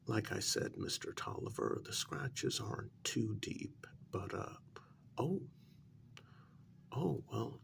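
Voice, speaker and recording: A man playing a polite, hesitant working man placating his boss in a soft, partly whispered ASMR role-play, halting and deferential. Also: mid-range voice, creaky, a little hiss or hum, heavily acted. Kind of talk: soft-spoken ASMR role-play, one side of a conversation.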